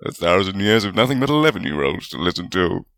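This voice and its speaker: A man's voice: sits low, very animated, fast talker, vocal fry, fairly bright tone, heavily acted. A man voicing a hearty, stubborn, teasing male wizard spirit with a jovial manner in a storybook reading.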